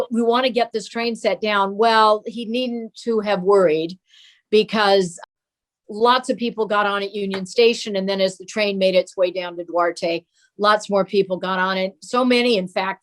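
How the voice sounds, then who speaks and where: A woman's voice: mid-range voice, steady tone, moderate pace. A mature woman speaking as an interview guest, telling fond family recollections in a casual storytelling style.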